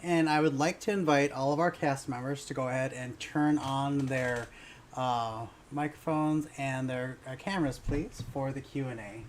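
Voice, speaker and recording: A man's voice: medium pitch, steady tone, normal speed. Casual male event host, faint noise. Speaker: media producer hosting a Q and A.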